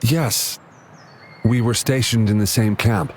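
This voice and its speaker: A man playing a kindly young soldier who is gentle with children, in a video game. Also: fairly low pitch, steady tone, slow, a little hiss or hum. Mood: curious, friendly.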